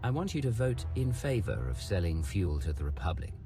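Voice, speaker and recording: An actor playing a terse, composed secret agent in a video game, speaking in a controlled, businesslike way. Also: male voice, sits low, big pitch swings, a little fast, over music. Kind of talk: video game dialogue acting.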